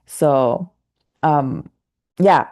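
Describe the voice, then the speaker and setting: A woman with a low-pitched voice hosts a casual interview. She laughs easily and fills her questions with hesitations. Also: extremely slow, warm tone. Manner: laughs easily, speaks with many fillers. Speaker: interview host.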